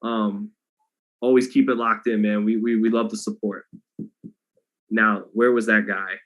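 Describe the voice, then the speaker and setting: A man hosting a boxing livestream in a loose, rambling way, talking to his chat with a flat, monotone delivery. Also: lowish voice, measured pace. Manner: monotone delivery, self-described; loose and rambling, many restarts. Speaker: boxing commentator and livestream host. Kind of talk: casual livestream chat, reading donations.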